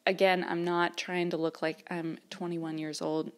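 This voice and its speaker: A woman's voice: fairly low pitch, little pitch movement, a little slow. A woman, chatting casually to camera in a rambling way with fillers and small laughs. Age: 30s. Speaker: vlogger. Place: her mother's house, indoors.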